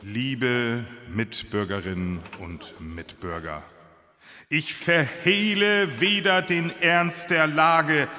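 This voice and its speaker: An actor playing a grave government minister giving a solemn, measured official address in a radio drama. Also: male voice, medium pitch, pushed and bright, a little hiss or hum. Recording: long hall-like reverb.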